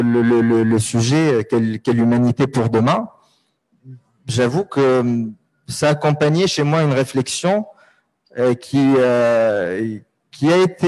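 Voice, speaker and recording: A Tunisian man, a native Arabic speaker, talking on a panel in a mid-pitched voice. He pauses often and fills the gaps with hesitations as he works through his ideas. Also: a little mellow. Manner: hesitant, many pauses and fillers. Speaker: writer on a panel. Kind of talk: reflective panel talk.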